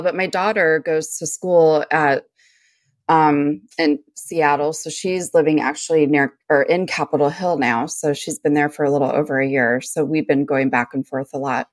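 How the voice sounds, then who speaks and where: A middle-aged woman co-hosting a podcast, talking in a relaxed, meandering way with lots of fillers. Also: low-pitched, moderate pace, no echo. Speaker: podcast co-host. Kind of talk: casual chat.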